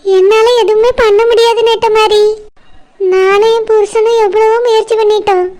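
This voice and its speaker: A woman voicing a chatty village cartoon story, taking several roles in a lively way. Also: very high-pitched, moderate pace. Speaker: cartoon narrator and voice actor.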